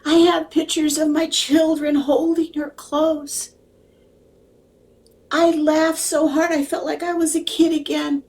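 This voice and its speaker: A woman's voice: high-pitched, flat delivery, slow, darkish tone, recorded from a distance, voice pushed up. A woman voicing a grieving widowed husband in a personal story, tearful and pleading, repeating himself. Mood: fond, heartbroken. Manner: pleading, repetitive, breaking into whispers.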